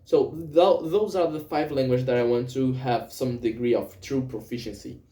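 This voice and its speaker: A man's voice: mid-range voice, steady tone, moderate pace, dark tone, roomy sound. A young Brazilian man whose first language is Portuguese, speaking English with a Brazilian accent in a casual spoken journal, hesitant and full of fillers and self-corrections. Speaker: vlogger.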